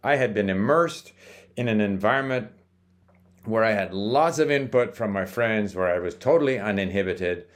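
An older man, born in Sweden and raised in Canada, hosts a language-learning video and explains things calmly, with small hesitations and restarts. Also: on the low side, normal speed. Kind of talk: casual talking-to-camera monologue.

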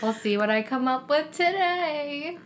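Woman co-host, slightly high voice, wry self-deprecating chat, faint hiss behind. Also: expressive, a little slow. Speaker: podcast co-host. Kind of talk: casual chat.

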